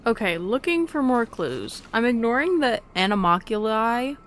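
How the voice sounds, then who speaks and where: A woman's voice: on the high side, very animated, normal speed, fairly bright tone, soft background music. A young adult woman doing casual, playful let's play commentary, chatty and rambling as she talks to herself. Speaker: gaming YouTuber.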